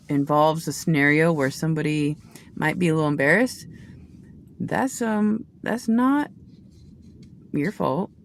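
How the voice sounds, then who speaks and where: A woman giving a casual tarot reading, talking in a rambling, chatty way with many restarts and asides. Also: low voice. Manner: says she has a loud voice. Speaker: tarot reader.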